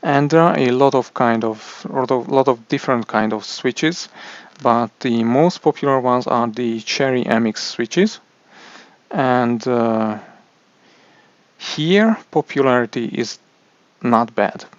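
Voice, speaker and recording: A man with a Hungarian accent, a native Hungarian speaker, explaining a product in a halting way with many restarts. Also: medium pitch, dry recording, muffled recording. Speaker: tech reviewer. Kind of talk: casual explainer monologue.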